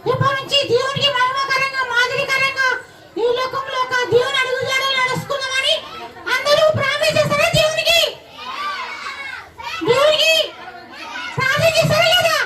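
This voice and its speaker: Teeny shrill puppet, eager and pious, sing-song, way off in a loud crowded hall. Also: flat delivery, normal speed, pauses often, far from the mic, a crowd in the background, background noise. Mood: earnest. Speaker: puppet show presenter. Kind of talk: children's Christmas puppet show address.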